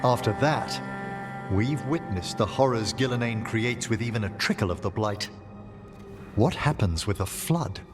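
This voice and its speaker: An actor playing a grave companion in a fantasy video game, delivering serious, foreboding exposition. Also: male voice, on the low side, expressive, moderate pace, music in the background, natural voice. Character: grave male companion explaining a looming catastrophe.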